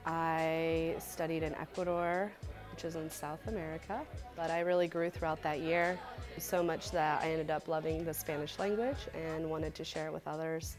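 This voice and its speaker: A woman teacher speaks about her school year abroad in a low, level voice. She tells it calmly and evenly, and music plays clearly behind her. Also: moderate pace. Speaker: Spanish teacher. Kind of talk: interview.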